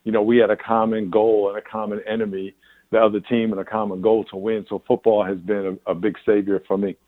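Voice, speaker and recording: A man's voice: lowish voice, little pitch movement, quick pace, no echo. A man in his sixties from New York, a pastor answering interview questions candidly, rambling with restarts. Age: 60s. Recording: tinny phone line.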